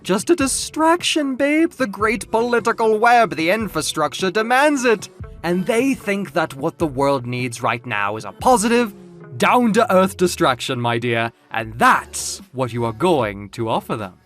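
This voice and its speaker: A man voicing an elegant, camp fashion boss in an audio drama, effusive, smirking and condescending, with drawn-out emphasis. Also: high voice, very animated, fairly bright tone, soft background music, voice pushed up, heavily acted. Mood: scheming, condescending.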